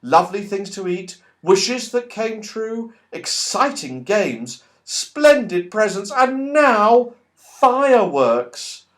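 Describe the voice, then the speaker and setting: A man's voice: high-pitched, sing-song, slow, a bit bright, pitched above the performer's own voice, full character voice. A man voicing a delighted little girl in a children's storybook, gushing and dreamy. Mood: happy wonder. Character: Beth, happy little girl delighted by party.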